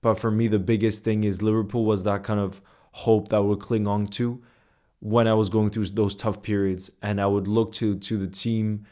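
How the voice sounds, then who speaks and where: A young man speaks in a low voice that stays fairly level in pitch. He tells a personal story to his viewers, earnest and reflective. He often restarts phrases and fills the gaps with "you know". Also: flat delivery, normal speed, warm tone. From Iceland and the United States. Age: young adult. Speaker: football content creator. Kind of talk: casual personal storytelling to camera.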